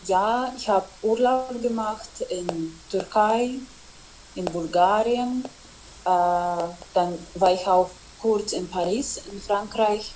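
A woman's voice: medium pitch, slow pace, a little mellow, a little hiss or hum, low-quality recording. A young woman whose first language is Russian, an exchange student giving hesitant, casual answers in a student interview with many fillers. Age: young adult.

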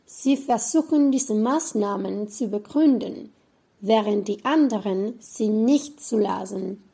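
A woman in her twenties, a native Spanish speaker, reading a prepared talk in German as a student presenter, slowly and carefully. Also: medium pitch, vocal fry, dark tone, breathy edge. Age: 20s.

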